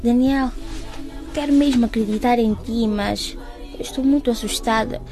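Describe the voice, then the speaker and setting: A woman's voice: fairly high pitch, normal speed, darkish tone, faint music behind, natural voice. An actress playing a shy, frightened young woman in a radio drama, speaking hesitantly. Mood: anxious. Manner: timid, hesitant.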